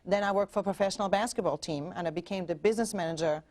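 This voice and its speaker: A woman's voice: fairly low pitch, rapid-fire, darkish tone. A woman in her forties from Germany with a German accent, a relationship coach talking earnestly as a guest on a TV show. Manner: earnest, with frequent restarts and fillers. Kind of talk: TV interview.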